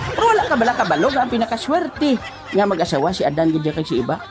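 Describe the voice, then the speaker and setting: Animated Western Visayan chatterer over music. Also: medium pitch, quick pace, a loud crowd. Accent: Western Visayan Philippine. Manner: jocular, chuckling, bantering. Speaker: talk show host. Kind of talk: casual joking chat. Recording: loud music bed behind the voice.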